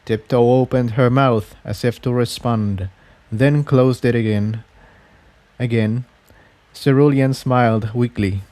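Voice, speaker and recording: A man's voice: medium pitch, fairly even intonation, takes it slowly, dark tone. A man reading an adventure story aloud as scripted narration. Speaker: audiobook-style fan fiction reader.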